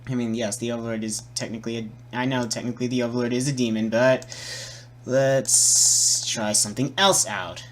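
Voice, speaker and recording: A man presenting a casual, improvised software tutorial, muttering and repeating himself as he works. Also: mid-range voice, fairly even intonation, moderate pace, a little hiss or hum. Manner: hesitant, muttering, repeating words while working.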